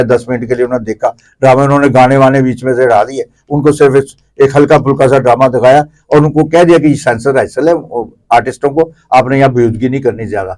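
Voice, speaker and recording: A Pakistani man speaks as a guest commentator in an interview. His voice sits at an average male pitch. He explains conversationally, stacking examples and repeating himself to make the point. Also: darkish tone.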